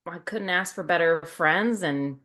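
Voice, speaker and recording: A woman's voice: lowish voice, a little slow, fairly bright tone. A woman co-hosting a casual chat show in an effusive, emphatic, cheerleading way. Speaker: podcast co-host.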